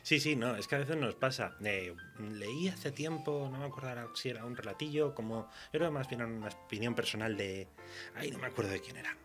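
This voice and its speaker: A man with a Castilian Spanish accent chatting casually and colloquially, often halting to search for words. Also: medium pitch, music in the background. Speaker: horror fiction writer. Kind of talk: casual conversation.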